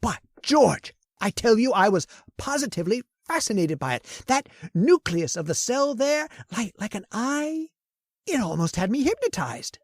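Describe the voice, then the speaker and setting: A woman's voice: a little high, normal speed, no room sound. A woman playing an obsessed, reckless male scientist in an old-time radio horror drama, swinging between wonder and scorn. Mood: fascinated. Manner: excitable, marvels and snaps by turns.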